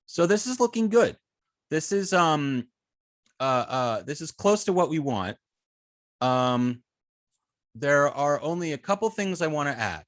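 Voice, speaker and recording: A man giving a live coding tutorial as an instructor, explaining step by step with halting phrasing, frequent ums and self-corrections. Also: medium pitch, fairly bright tone, no room sound, clean studio sound. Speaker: web development instructor.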